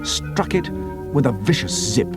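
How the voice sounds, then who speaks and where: Male narrator, middle-aged, dramatic audiobook reading, lively pitch, music bed underneath. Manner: slows down for suspense, drops to hushed tones for atmosphere.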